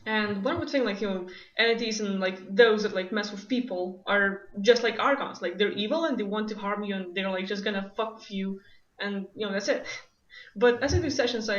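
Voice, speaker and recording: A woman from Lithuania with a Lithuanian accent, hosting a casual conversation and laughing often. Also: medium pitch. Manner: laughs often, many "like" fillers. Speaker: host. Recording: echoey, distant, dull sound.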